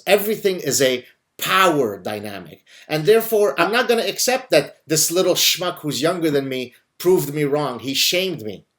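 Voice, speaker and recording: A man from Lebanon whose first language is Arabic, hosting a conversation and telling stories emphatically, often checking in with the listener. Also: on the high side. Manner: emphatic, speaks fast, often checks in with "right?". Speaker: host. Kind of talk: casual conversation, animated storytelling.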